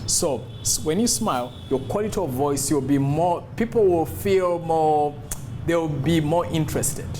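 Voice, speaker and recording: A man giving an instructional talk as a public speaking coach, emphatic and deliberate, repeating key words. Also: on the high side, darkish tone, faint music behind, low-quality recording. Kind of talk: instructional talk to camera on voice modulation.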